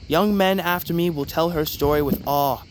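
An actor playing a proud, teasing young warrior brother of a wolf tribe in a fantasy audio drama, confident and playful. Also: male voice, on the high side, moderate pace, background noise, close to an everyday voice.

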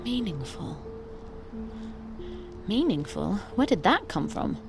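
A woman's voice: medium pitch, very animated, slow pace, creaky, over loud music, heavily acted. An actress playing an eager, perfectionist female estate agent and yoga teacher, earnest and performatively gracious, in an audio drama. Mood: earnest, puzzled.

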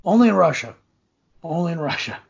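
A hoarse man in his twenties hosting a casual sports podcast, rambling through upbeat plugs and a pep talk. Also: a little high, very animated, fairly quick. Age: 20s. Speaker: podcast host. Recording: dull lo-fi audio with jazz underneath.

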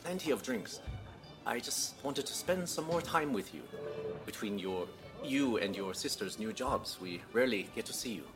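Father, fifties, played by a man: proud, courteous, wry, very animated intonation over clear music. Natural audio drama. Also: medium pitch, moderate pace, lightly acted. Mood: affectionate. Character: genial, proud father in his fifties, scientist.

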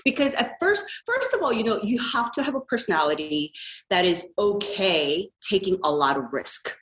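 A woman's voice: medium pitch, sing-song, moderate pace, a bit bright, rough recording. A woman, speaking as a guest in a casual podcast interview, chatty and rambling with frequent fillers and laughter. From South Korea. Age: 40s. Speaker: guest entrepreneur.

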